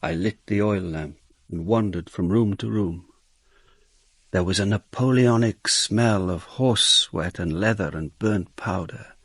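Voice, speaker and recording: Observant detective narrator, husky, gravelly, reflective, dry, radio drama. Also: male voice, low voice, a little slow, no echo, full character voice. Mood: brooding, observant. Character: world-weary, observant male Dutch police inspector narrating his case.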